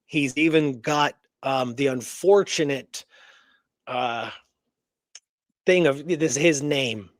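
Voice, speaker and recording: A man's voice: mid-range voice, slow pace, pauses often, no room sound. A man hosting a history show in casual, unscripted conversation, rambling informally and often checking in with his listener.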